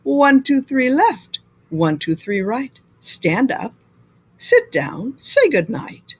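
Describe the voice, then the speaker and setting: Lady doing prim little storybook penguins, soft proper chanting, sorta high. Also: huge pitch swings, slow pace, slightly rough, exaggerated voice. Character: prim, proper team of young penguins cheering.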